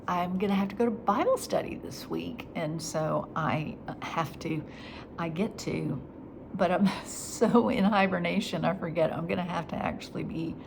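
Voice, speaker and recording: A woman talking in a reflective faith vlog, soft and halting, her voice nasal after crying. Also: fairly low pitch, creaky. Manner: soft and halting, slipping into whispers. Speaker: vlogger. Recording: steady background noise.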